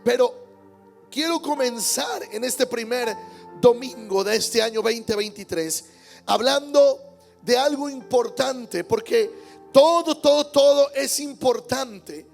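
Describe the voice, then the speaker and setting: High gravelly-voiced man preaching. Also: slow, soft background music. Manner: emphatic preaching with repetition and amens. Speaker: pastor. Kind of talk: Sunday sermon. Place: church service.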